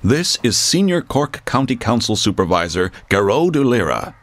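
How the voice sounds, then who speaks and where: An actor playing a solemn, portentous narrator of a mock documentary, speaking in measured scripted phrases. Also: male voice, medium pitch, very animated, no echo, close to an everyday voice.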